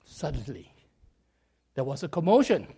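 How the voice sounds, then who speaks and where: Preacher guy, a bit raspy n hoarse from a cold, lively and chatty, pokes fun at himself. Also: medium pitch, moderate pace. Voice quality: slightly raspy. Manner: animated, chatty, jokes at his own expense. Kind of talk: sermon with storytelling.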